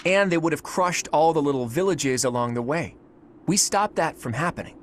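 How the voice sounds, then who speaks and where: A man's voice: a little high, lively intonation, normal speed, noisy background, close to an everyday voice. A man playing a sly, easygoing young nobleman student in a video game, with a casual, teasing and secretive manner. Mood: reassuring.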